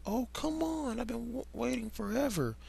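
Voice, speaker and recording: Cheerful, clumsy cartoon kid, high voice, performed by a man, for fan fiction reading. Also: expressive, normal speed, creaky, dark tone, slightly rough, full character voice. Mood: whiny, impatient. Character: eager, clumsy young cartoon boy, sheepish and cheerful.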